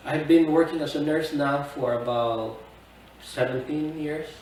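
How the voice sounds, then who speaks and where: A man's voice: a little high, a little mellow. A man in his fifties from the Philippines, recalling his life at an easy pace in a plain, matter-of-fact interview. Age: 50s. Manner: unhurried, plain-spoken recollection with fillers. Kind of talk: oral history interview. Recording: echoey room, distant mic, faint noise.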